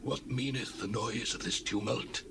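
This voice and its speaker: An actor playing a very old blind priest in a dramatized scripture reading, slow, solemn and hushed. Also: male voice, mid-range voice, slight background noise. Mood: anxious.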